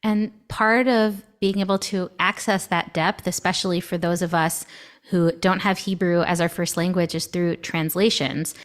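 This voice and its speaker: A woman's voice: lowish voice, steady tone, normal speed. A woman hosting a podcast, who praises her guest and frames long, appreciative questions. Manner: warm, appreciative, asks long framing questions. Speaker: podcast host. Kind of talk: interview questions.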